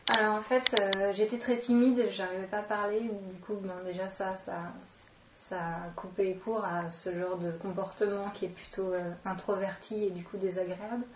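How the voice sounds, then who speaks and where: A woman's voice: mid-range voice, steady tone, moderate pace. A woman in her late twenties with an accent from France, talking casually and hesitantly as she introduces herself in an interview. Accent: French, from France. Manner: informal, hesitant, thinking aloud. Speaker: interviewee, actress and children's entertainer. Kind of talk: casual spoken self-introduction. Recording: roomy, dull, distant microphone, noisy.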